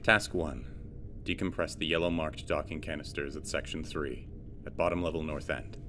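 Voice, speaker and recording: A man playing a steady, commanding spaceship crew leader in a sci-fi audio drama, businesslike as he gives instructions. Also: very deep voice, moderate pace. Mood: commanding, focused. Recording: clear background ambience noise.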